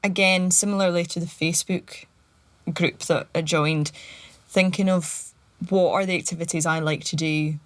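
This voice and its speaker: Scottish woman, low voice, dry sound. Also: steady tone, normal speed, dry recording. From Scotland. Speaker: podcast guest. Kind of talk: casual chat.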